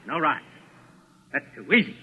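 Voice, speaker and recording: A ruthless little American mob boss in an old radio thriller. He talks in bullying slang, in a highish voice that jumps all over, on a muffled, hissy recording. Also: big pitch swings, normal speed, slightly rough. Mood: menacing. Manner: slangy, bullying, barking orders. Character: brash, ruthless American gangster boss, bald little man. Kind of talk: 1940s radio acting.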